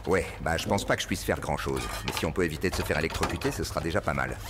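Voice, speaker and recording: A man's voice: very deep voice, very fast, heavy background noise. A man playing a neurotic, grumpy former villain boss in a cartoon game world, dry, fussy and hesitant. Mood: uneasy.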